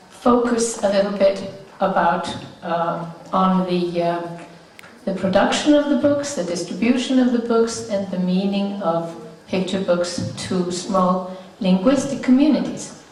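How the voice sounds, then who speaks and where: A woman with an Icelandic accent giving a prepared conference talk, measured and pausing often with "uh" fillers. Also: mid-range voice, darkish tone, slight background noise. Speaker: conference speaker. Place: conference hall. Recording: echoey hall, mic at a distance.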